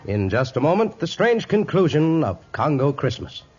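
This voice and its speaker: A man's voice: on the high side, very animated, normal speed. A man narrating a 1950s radio adventure drama in a dramatic scripted style. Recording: muffled old radio recording, music behind.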